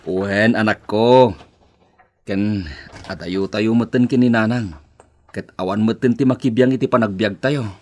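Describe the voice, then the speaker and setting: A man's voice: lowish voice, unhurried, long pauses, a little mellow. Distressed Ilocano family man. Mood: gentle, resigned. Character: distressed adult son, devoted husband and father, Lito. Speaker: radio drama actor. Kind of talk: Ilocano radio drama acting.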